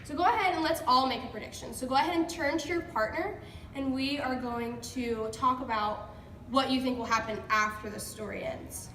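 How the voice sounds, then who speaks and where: A woman's voice: high-pitched, moderate pace, sharp tone. A woman teacher leading an interactive picture-book read-aloud for children, encouraging and prompting with questions. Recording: echoey room, distant mic, background noise.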